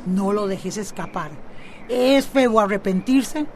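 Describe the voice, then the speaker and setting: A woman's voice: mid-range voice, takes it slowly, a little mellow, slight background noise, natural voice. A woman playing a kindly, frank older neighbour giving advice in a radio drama, with a Costa Rican accent. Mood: frank, encouraging.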